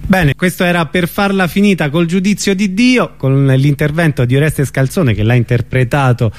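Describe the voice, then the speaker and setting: A man's voice: a little high, normal speed. A man hosting a radio programme, presenting in a conversational style. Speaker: radio host.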